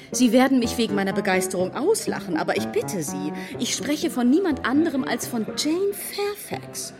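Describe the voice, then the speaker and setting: A woman's voice: a little high, a little mellow. An actress playing a self-important married society woman in a radio drama, effusive and affected, with emphatic delivery.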